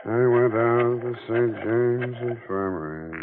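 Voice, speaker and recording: A man's voice: mid-range voice, slow, heavy background noise, natural voice. An actor playing a dry, sardonic hard-boiled male private detective in a 1940s radio drama on a tinny old broadcast.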